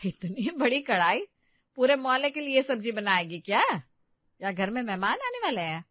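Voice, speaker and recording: A bossy middle-aged mother-in-law from the village, scolding and lecturing in a raised, fairly high voice, as a woman puts her on. The recording is dull and lo-fi, dry and close. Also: big pitch swings, normal speed, no echo. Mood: incredulous. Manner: scolding, instructive, exclaiming.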